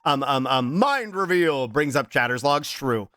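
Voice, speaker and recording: Male streamer, 30s, bright and animated, strained voice cracking from allergies, lots of drawn-out ums, swears freely. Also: mid-range voice, no echo, clean studio sound. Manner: long strings of drawn-out filler ums, profane and joking. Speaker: game streamer. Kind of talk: casual chat reading out viewer messages.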